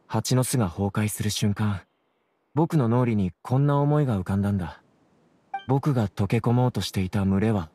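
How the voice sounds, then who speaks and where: An actor playing a gentle, self-doubting young man in an anime game, earnest, soft-spoken and reflective. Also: mid-range voice, lightly acted. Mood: quietly hopeful. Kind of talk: anime video game voice acting.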